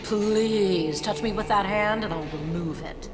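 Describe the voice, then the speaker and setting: An actress playing a haughty, sneering summoner in a game, with a condescending, cutting, imperious manner. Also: female voice, mid-range voice, measured pace, close to an everyday voice. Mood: scornful. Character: haughty, sneering female summoner, adult rival. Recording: hall reverb, game sounds and music behind.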